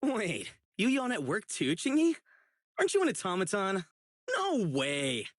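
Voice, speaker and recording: A man voicing an earnest, righteous young public security officer in a video game, dutiful and excitable, with dramatic delivery. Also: sits high, unhurried, heavily acted. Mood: surprised, earnest. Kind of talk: isolated game character voice lines, dramatic acting.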